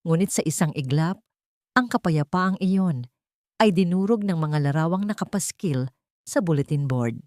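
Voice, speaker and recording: Woman narrating drama, lower-pitched, close and dry. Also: animated, moderate pace, a little mellow, no room sound. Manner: solemn, emotive storytelling. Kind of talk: dramatic scripted story narration.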